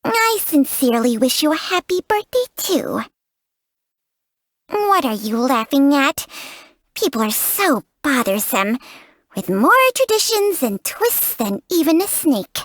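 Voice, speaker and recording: A woman's voice: high-pitched, sing-song, unhurried, no room sound, studio quality. A woman voicing a small, imperious talking snake companion in a video game, blunt, haughty and impatient. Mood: pompous, pondering. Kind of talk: video game character voice lines.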